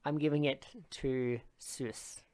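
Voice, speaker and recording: A woman's voice: a bass voice, steady tone, takes it slowly, a crowd in the background. A woman co-hosting a podcast in casual chat, with dry, teasing humour. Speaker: podcast co-host.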